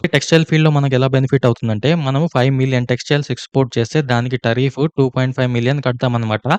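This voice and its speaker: A man's voice: mid-range voice, a little mellow, clean tone, no room sound. A man from India with a Telugu accent explaining news to viewers conversationally, mixing in many English terms. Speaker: host of an explainer channel.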